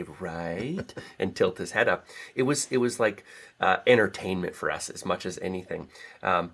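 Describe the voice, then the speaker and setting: A man speaking as a guest author in a casual interview, halting and reflective, often pausing mid-sentence. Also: low-pitched, fairly bright tone.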